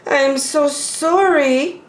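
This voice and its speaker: A woman's voice: high-pitched, very slow, pitched above the performer's own voice. A woman voicing a polite, apologetic lost male polar bear from a picture book, plaintive, in an echoey room. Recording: roomy home recording with echo.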